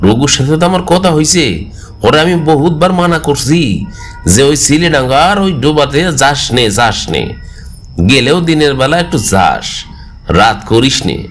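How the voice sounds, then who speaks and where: A man's voice: fairly high pitch, animated, normal speed, a little hiss or hum, voice pushed up, natural voice. A man voicing a protective, scolding old village fisherman in a cartoon, with a rural Bengali dialect. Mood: cautioning. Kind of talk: cartoon dialogue.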